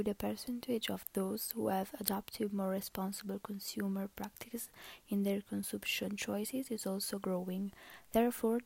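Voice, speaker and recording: Flat, gravelly young woman. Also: medium pitch, no echo. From Italy. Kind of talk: reading prepared answers.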